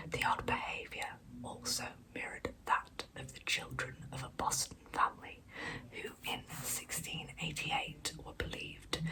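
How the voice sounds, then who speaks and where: Slow, whispering ASMR woman storyteller. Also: deep voice, poor audio. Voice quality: soft, breathy unvoiced whisper. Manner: measured reading with wry, informal asides. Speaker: ASMR storyteller and channel host. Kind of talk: whispered ASMR true crime narration.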